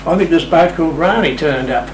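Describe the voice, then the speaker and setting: A man's voice: on the high side, a little fast. Chatty Australian man, roomy distant recording. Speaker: interview guest. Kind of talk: unscripted interview storytelling. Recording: roomy, distant mic, loud room hum.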